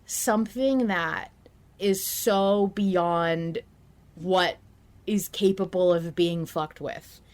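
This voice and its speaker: A woman's voice: on the low side, drawn-out words. Informal, opinionated woman chatting. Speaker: podcast co-host. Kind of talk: casual podcast discussion of a fiction show.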